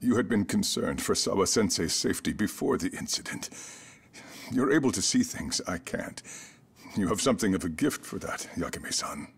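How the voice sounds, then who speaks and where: An actor playing a weary school chairman in a game drama, speaking formally and haltingly with solemn courtesy. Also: low-pitched, expressive, creaky, slightly rough, full character voice. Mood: grave, courteous. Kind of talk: dramatic game cutscene dub acting. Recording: loud background music under the voice.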